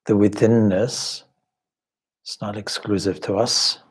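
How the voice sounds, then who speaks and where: Man, very slow pace, husky low-pitched voice, meditation teacher, deliberate pauses, formal contemplative phrasing. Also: steady tone. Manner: measured, deliberate, with long pauses between short phrases. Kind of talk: guided meditation, slow spoken instruction.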